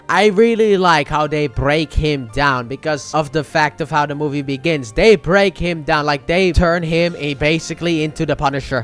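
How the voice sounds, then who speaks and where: Male movie reviewer, fairly high pitch, casual and emphatic commentary, unscripted, background music. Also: fairly bright tone. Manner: opinionated, emphatic, repeats phrases for stress. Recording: music playing under the voice.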